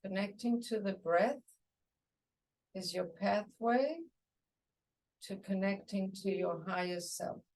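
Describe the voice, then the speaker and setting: A woman teaching a guided meditation, giving soothing, unhurried instructions in short phrases with pauses. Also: medium pitch, a little mellow, recorded from a distance, rough recording.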